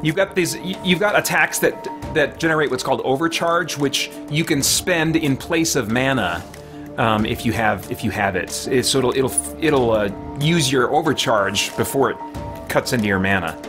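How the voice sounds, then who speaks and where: A man's voice: mid-range voice, animated, moderate pace. A middle-aged man casually commentating on a video game he is playing, musing aloud with mild folksy exclamations and reading out chat. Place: small indoor room at home. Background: video game music.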